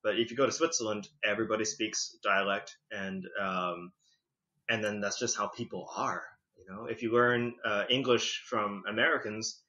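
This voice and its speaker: A man in his thirties, a podcast guest telling his story in a casual, chatty way, on a dull lo-fi recording. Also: lowish voice. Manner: chatty, lively, leans on "like" and "you know".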